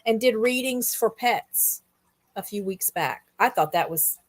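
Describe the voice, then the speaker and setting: A woman's voice: medium pitch, animated, measured pace. A woman hosting a casual, conversational interview, asking questions and chatting. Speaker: interviewer, show host.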